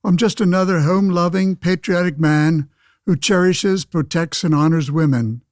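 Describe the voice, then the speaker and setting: An actor playing a pompous, old-fashioned senator of 1911, speechifying against suffrage in a radio play. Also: male voice, high voice, normal speed, darkish tone, dry recording, clean studio sound, close to an everyday voice. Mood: self-righteous. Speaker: debate guest. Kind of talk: pseudo-radio play, oratorical debate.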